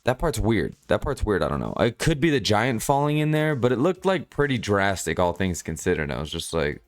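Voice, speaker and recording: Male reviewer, low voice. Casual off-the-cuff commentary, halting and rambling, circles back over his own phrases. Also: very animated. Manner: rambling, halting, repeats himself. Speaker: manga chapter reviewer.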